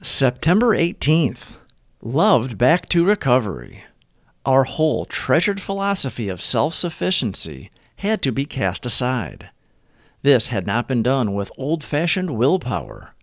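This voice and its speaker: Male devotional reader, deliberate phrasing, animated pitch movement, very dry close sound. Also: no echo. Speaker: host of recovery readings. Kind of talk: scripted devotional reading.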